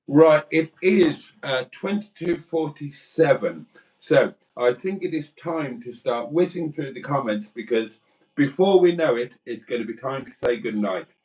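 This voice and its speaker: A man's voice: mid-range voice, animated, measured pace, darkish tone, distant mic, rough recording. A man from Wales co-hosting a live stream, chatting casually and reading viewer comments aloud with rambling asides.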